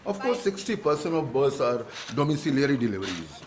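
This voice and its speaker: An actor playing a slippery, jovial hospital doctor with an Indian accent and a breezy, joking manner, in a naturalistic radio drama. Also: male voice, medium pitch, big pitch swings, brisk, crowd noise, lightly acted. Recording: noisy background ambience.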